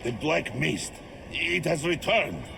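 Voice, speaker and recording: A man's voice: fairly high pitch, very animated, takes it slowly, creaky, noisy background, heavily acted. An actor playing an earnest, big-hearted heroic man in a video game, speaking in a grand, reassuring manner. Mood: determined. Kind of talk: video game character acting.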